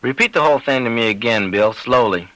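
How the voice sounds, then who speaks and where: A man voicing a senior police commander in an audiobook, cautious, deliberate and official. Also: medium pitch, fairly bright tone, no echo, lightly acted, rough recording.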